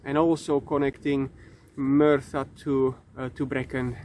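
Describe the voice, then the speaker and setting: A man in his thirties, not a native English speaker, hosting a casual walking vlog outdoors and talking into a phone held at arm's length, with many fillers and halting restarts. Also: medium pitch, flat delivery, a little mellow. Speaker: vlogger. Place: outdoors in countryside by a river. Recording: outdoor wind and stream noise.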